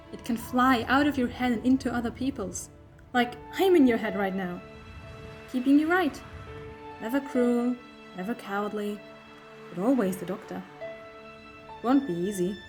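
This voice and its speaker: A woman's voice: on the high side, darkish tone, natural voice. Woman acting a playful, coaxing time-travelling heroine, music behind. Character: playful, reassuring time-travelling alien heroine, a voice in a boy's head. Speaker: voice actor. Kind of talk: fan audio drama acting.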